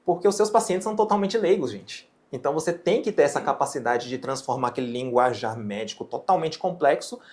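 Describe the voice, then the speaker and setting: Man, Japanese accent, native Japanese speaker, physician and educator, measured explanations, average pitch. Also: animated, a little mellow. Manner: measured, explanatory, often ends phrases with a questioning tag. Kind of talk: interview, explaining his teaching approach.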